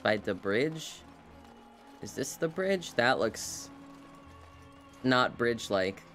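Playful male streamer, creaky voice. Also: mid-range voice, animated, takes it slowly, fairly bright tone, faint music behind. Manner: playful, murmured sing-song asides. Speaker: game streamer. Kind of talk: casual live gameplay commentary.

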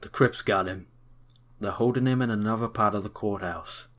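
A brash young newsboy, played by a man, quick with a wisecrack and full of street slang. His voice sits in a mid range and is recorded plainly. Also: on the low side, fairly bright tone, natural voice. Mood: reassuring. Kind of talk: fan audio drama.

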